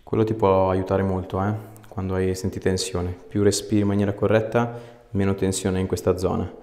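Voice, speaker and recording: Low-voiced chiropractor, echoey room. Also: male voice, level tone, moderate pace, a little mellow. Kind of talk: casual clinical instructions and chat with a patient. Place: small indoor clinic treatment room. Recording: echoey treatment room, faint noise.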